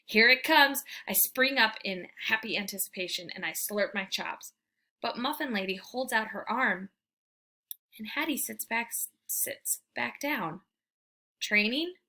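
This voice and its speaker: A woman reading a children's book aloud as a storyteller, with expressive, emphatic delivery. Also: medium pitch, measured pace. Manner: animated, emphatic, theatrical delivery.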